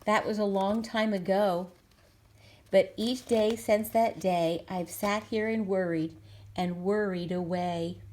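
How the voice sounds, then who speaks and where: A woman's voice: mid-range voice, steady tone, slow, darkish tone, natural voice. A woman playing a stubborn male factory owner from a storybook, telling his tale in emphatic, rhythmic rhyme. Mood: regretful.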